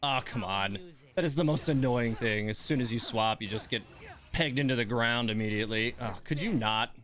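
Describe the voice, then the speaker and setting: A man's voice: medium pitch, moderate pace, fairly bright tone. A man streaming a game, giving casual live commentary with muttered, mildly exasperated asides to himself.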